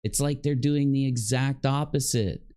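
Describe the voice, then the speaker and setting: A man's voice: medium pitch, moderate pace, dark tone. A man giving unscripted reaction commentary as a streamer, arguing and accusing as he goes. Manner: argumentative, accusatory. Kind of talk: unscripted reaction commentary over another person's video.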